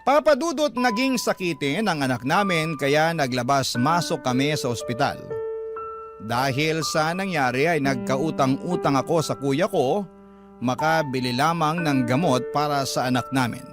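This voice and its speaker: A man telling a first-person story as a radio drama narrator, in measured phrases with pauses. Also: a little high. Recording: music bed under the voice. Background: prominent background music.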